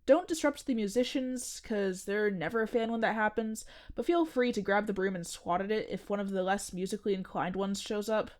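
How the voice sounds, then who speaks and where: A woman's voice: mid-range voice, fairly quick, hardly any pauses, close to an everyday voice. A young woman acting a strange, cheerfully nerdy coworker in a comedic audio drama, matter-of-fact and teasing. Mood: breezy. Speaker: restaurant janitor.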